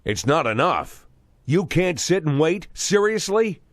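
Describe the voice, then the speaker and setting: A man's voice: on the high side, sing-song, unhurried, no room sound, clean studio sound. A middle-aged man playing a bitter, crooked former police chief in game drama, sarcastic and menacing. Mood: scornful, angry. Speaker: voice actor in game cutscenes. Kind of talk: dramatic scripted dialogue.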